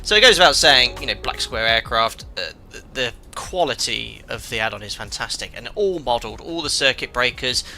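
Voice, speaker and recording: A man streaming live as a flight simulator host, chatting and reading out chat messages, congested from a cold with a croaky voice and many filler ums and uhs. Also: medium pitch, lively intonation, a little fast, few pauses, sharp tone, faint music behind. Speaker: streamer and airline pilot.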